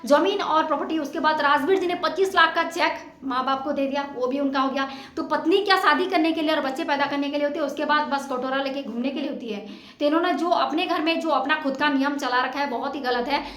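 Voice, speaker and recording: A woman's voice: high voice, brisk, a bit bright. A woman talks straight to her viewers. Her commentary is informal and opinionated, pressed home with emphatic, indignant rhetorical questions. The sound is echoey, like an untreated room. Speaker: vlogger commenting on a family dispute.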